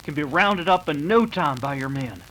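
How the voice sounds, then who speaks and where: An actor playing an earnest, bashful young American cowboy hero in an old-time radio western. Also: male voice, a little high, animated, normal speed, sharp tone, a little hiss or hum, poor audio. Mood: confident. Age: young adult.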